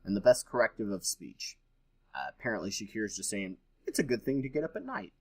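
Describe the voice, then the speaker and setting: A man's voice: on the low side, very animated, fairly quick. A man reading aloud and adding his own informal commentary as host, with a skeptical, dryly sarcastic manner.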